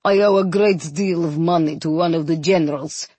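Deep gruff male captain, played by a woman, tipsy, blustering, slow slurred words, husky, storybook character. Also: a little mellow, dry recording. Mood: rueful bluster. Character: tipsy gambling Russian captain, big gruff man. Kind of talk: audiobook character acting.

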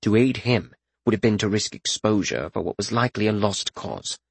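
A man playing a laconic, quiet-spoken fantasy fighter. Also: fairly low pitch, creaky, slightly rough, no echo. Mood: measured. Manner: understated, measured, terse. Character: calm, laconic male fighter and healer, dryly unbothered. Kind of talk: audiobook fantasy character acting.

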